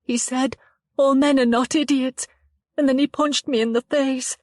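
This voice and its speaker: A frightened young woman speaks haltingly, her voice higher than most and trembling, as a woman reads her part. The sound is dry and close, with no room around it. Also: steady tone, a little mellow, no room sound. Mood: upset. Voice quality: trembling, halting and upset, rising higher when distressed. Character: young woman, shaken and tearful after an attack. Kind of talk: dramatized audiobook reading.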